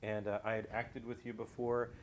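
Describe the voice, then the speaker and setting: A man speaking as an interview guest, explaining in measured, somewhat formal phrases with some hesitation. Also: fairly low pitch, level tone. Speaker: guest, audio drama creator. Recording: faint background noise.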